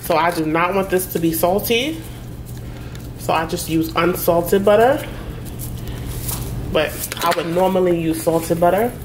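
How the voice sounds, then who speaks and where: A woman's voice: on the low side, a little slow, pauses often. Young woman, home cooking vlogger, easygoing patter, kitchen noise and sizzle in the background. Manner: chatty, lists ingredients as she cooks. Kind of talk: casual cooking vlog narration.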